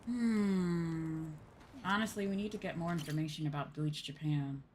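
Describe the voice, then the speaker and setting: A woman's voice: fairly low pitch, normal speed, darkish tone, a little hiss or hum. A woman giving casual live commentary as she streams herself playing a video game. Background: game music.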